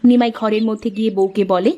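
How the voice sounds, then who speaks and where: A woman's voice: medium pitch, fairly even intonation, quick pace, warm tone, highs cut off. A woman giving scripted narration for a children's fairy tale.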